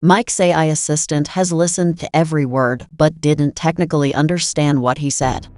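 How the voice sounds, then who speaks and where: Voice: medium pitch, steady tone, moderate pace, a little mellow, no echo, studio quality. A narrator reading scripted story narration for a dramatized synopsis.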